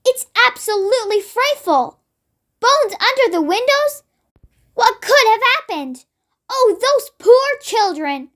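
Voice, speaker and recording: Child reading as a proper elderly queen in a storybook, very high, prim and shaken. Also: sing-song, slow pace, fairly bright tone, heavily acted. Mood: horrified. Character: well-mannered elderly queen, upset by a nightmare.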